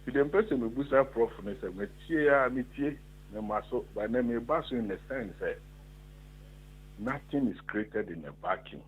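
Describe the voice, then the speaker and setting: A man's voice: medium pitch, moderate pace, a little hiss or hum. A man with a Ghanaian accent, calling into a radio talk show and arguing emphatically while mixing Twi and English. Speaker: radio caller. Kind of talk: phone-in discussion. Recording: tinny phone line.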